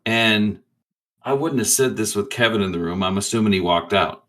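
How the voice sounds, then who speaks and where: Guy playing tabletop rpg, low sharp voice, jokey and blunt, swears casually. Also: moderate pace. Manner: blunt, profane, joking. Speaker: player in a tabletop role-playing game. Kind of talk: casual chat, improvised play.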